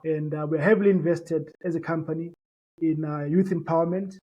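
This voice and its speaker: A man's voice: on the high side, steady tone, a little fast, warm tone, highs cut off. A man from Zimbabwe whose first language is Shona, a company founder talking earnestly in an interview, with many fillers and repeated words. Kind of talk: interview, conversational business pitch.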